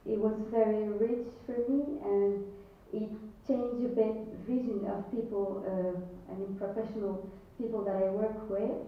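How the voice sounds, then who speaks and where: Speaker from Algeria, woman, 30s, halting, fillers, laughs; recorded far off in an echoey hall, muffled. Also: mid-range voice, fairly even intonation. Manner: hesitant, many fillers, laughs often. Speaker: lighting designer giving a short talk. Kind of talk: timed slide presentation. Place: large echoey event space. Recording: distant, echoey, muffled event audio.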